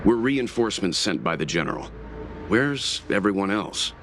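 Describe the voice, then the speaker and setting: Deep, serious game hero. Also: male voice, big pitch swings, normal speed, a bit bright, faint music behind. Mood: brisk. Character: calm, serious, analytical man of few words. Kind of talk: video game character acting.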